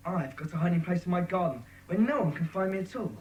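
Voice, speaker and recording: A woman's voice: on the low side, expressive, fast talker, a little mellow, highs cut off, lightly acted. A woman playing an easygoing, practical schoolboy in a dramatised children's audiobook. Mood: keen, proud.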